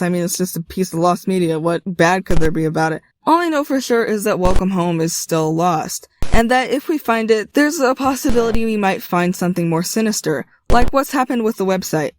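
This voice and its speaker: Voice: mid-range voice, lively intonation, fairly quick, a little hiss or hum. A video creator narrating a scripted video essay.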